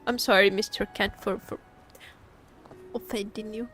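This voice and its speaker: A woman's voice: medium pitch, steady tone, unhurried, fairly bright tone, faint music behind. A woman live-streaming a game, chatting casually and expressively, often repeating her phrases. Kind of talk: casual livestream chat while gaming.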